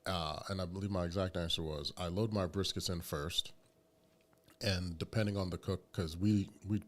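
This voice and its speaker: Male co-host with a very deep voice, easygoing and joking, casual podcast talk. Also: steady tone, normal speed. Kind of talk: casual chat.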